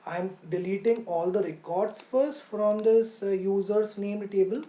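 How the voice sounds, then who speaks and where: A woman with a mellow, mid-pitched voice gives a live online tutorial in a roomy, echoey space. She explains step by step as a teacher does, often pausing to think aloud and restating phrases for emphasis. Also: steady tone. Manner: poses questions and answers them. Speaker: coding teacher.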